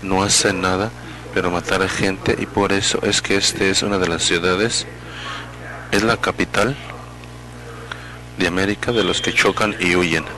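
A man interpreting live at a public meeting, speaking in halting, fragmented phrases and correcting himself as he goes. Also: sits low, fairly even intonation, rough recording. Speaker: simultaneous interpreter.

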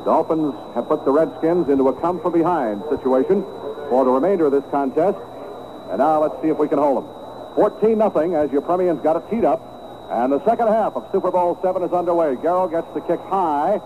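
High-voiced American football announcer on tinny radio. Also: male voice, a little fast. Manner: excitable, vivid descriptive phrasing. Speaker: play-by-play sports announcer. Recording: tinny, very noisy 1970s radio broadcast.

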